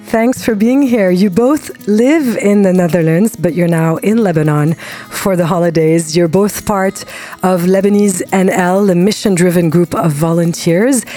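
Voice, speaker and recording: Woman radio host with a mellow, slightly low voice, reading her questions in a measured, scripted way and introducing her guests evenly. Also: soft background music. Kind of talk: radio interview.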